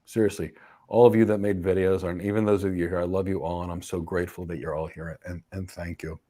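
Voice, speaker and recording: A man hosting a live stream who is moved and grateful, speaking softly as he thanks his audience. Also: low-pitched, level tone, quick pace. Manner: touched, grateful, soft-spoken. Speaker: host.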